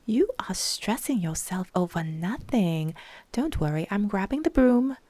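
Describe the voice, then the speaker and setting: A woman's voice: mid-range voice, measured pace, no room sound, voice pushed up. A woman voicing a bubbly, playful young woman who teases and coaxes her friend. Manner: teasing, excitable, coaxing.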